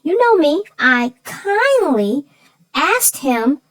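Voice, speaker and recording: Woman putting on a high-pitched, sassy, feisty lady. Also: very animated, full character voice. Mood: indignant, scheming. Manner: folksy, emphatic, drawn-out storytelling. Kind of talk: scripted chatty banter about a children's book.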